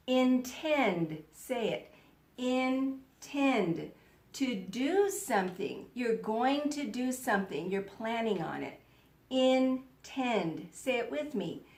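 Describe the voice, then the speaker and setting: A woman teaching young children in a read-aloud lesson, speaking deliberately and instructively, in the manner of an elementary school teacher. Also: on the high side. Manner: deliberate, instructional, repeats words for pupils to echo. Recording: roomy, echoing sound.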